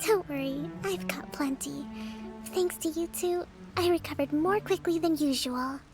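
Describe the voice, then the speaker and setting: A calm, thoughtful young woman who leads her people. Her voice sits high and light, soft-spoken and courteous even when she addresses a crowd. Faint game music runs behind her. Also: a little slow. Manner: soft-spoken, composed and polite. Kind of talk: video game voice acting.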